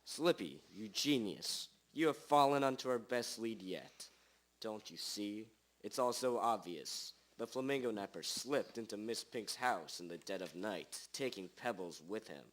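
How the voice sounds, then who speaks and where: Self-important small-town detective, deep-ish male actor, dramatic pauses, hobby radio drama, rough sound. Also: animated, fairly bright tone. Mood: deducing. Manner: dramatic pauses, theatrical declarations. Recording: rough amateur recording.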